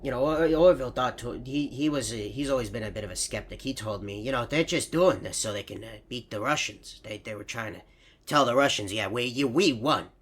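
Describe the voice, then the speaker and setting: A man voicing a rambling, nostalgic elderly hippo storyteller for a game, stammering and easily sidetracked, with a husky voice. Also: medium pitch, a little fast, natural voice. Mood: exasperated, animated. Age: older. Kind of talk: meandering character monologue for a horror fan game.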